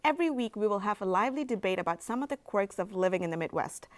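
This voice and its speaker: A woman's voice: mid-range voice, lively intonation, fast talker. A woman presenting a local TV show, reading a scripted segment introduction in an upbeat way. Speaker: TV show host.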